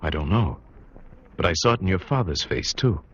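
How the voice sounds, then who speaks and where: A man's voice: low-pitched, very animated, normal speed, fairly bright tone, breathy edge, exaggerated voice. An actor playing an earnest, uneasy seafaring man in a dramatic old radio adventure. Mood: earnest, intrigued. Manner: brooding, dramatic. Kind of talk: 1940s-50s radio drama narration and dialogue. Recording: muffled vintage radio, music behind.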